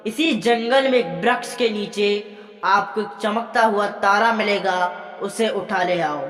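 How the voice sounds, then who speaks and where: A man voicing a calm, commanding divine monkey god, giving solemn instructions. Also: high-pitched, measured pace, soft background music. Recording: echoey hall reverb.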